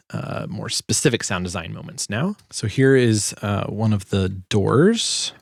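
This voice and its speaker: A man giving a casual tutorial as a sound designer, informal and halting as he thinks aloud. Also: on the low side, no room sound, clean studio sound. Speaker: sound designer and video host.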